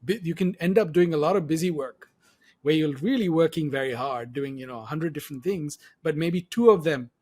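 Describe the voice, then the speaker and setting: A man's voice: fairly high pitch, fairly quick, darkish tone, slightly rough. A man hosting an interview podcast, reflecting on what he hears and summarizing it in a conversational, explanatory way. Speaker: podcast host.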